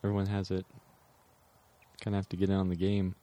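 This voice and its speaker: Low-voiced man making his case. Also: monotone, quick pace, mellow. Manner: argues his point with repeated restarts. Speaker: podcast co-host. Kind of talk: casual chat.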